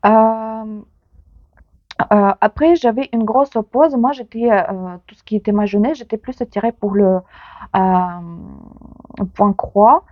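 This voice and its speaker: A woman's voice: medium pitch, no echo. Ukrainian woman, dull muffled sound. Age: 30s. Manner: hesitant, many pauses and restarts. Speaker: knitting vlogger. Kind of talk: casual chat to camera.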